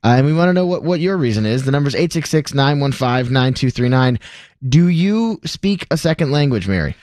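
A man's voice: mid-range voice, animated, brisk, darkish tone, dry recording. A man co-hosting a casual radio talk show from a studio, upbeat and rambling, with lots of fillers and self-corrections. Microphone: studio microphone.

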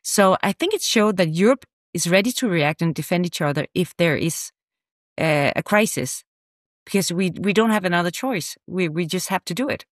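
A woman's voice: lowish voice, lively intonation, normal speed, no room sound, clean studio sound. A woman giving conversational political commentary on a panel, earnest and emphatic, repeating words for weight.

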